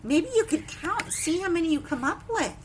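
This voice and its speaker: A woman leading preschool story time, reading a picture book with simple, expressive asides and questions to young children. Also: very high-pitched, a little fast, noisy background.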